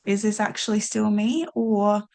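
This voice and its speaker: A woman's voice: mid-range voice, steady tone, moderate pace, gravelly, dark tone. A woman coach hosting a podcast, speaking encouragingly and talking straight to viewers. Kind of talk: warm reflective casual chat.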